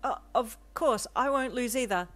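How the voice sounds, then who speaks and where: A woman's voice: on the low side, brisk, darkish tone, soft background music, natural voice. A woman voicing an earnest, cheerful young male hero with an eager, easily flustered manner in a story. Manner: eager, stammering when flustered.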